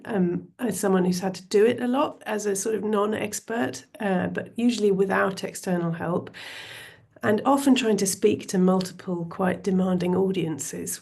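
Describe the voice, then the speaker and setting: A middle-aged woman giving a panel talk over a video call, her voice croaky from a cold, conversational with frequent hesitant fillers. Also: medium pitch, darkish tone. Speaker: panel speaker from a health and arts alliance.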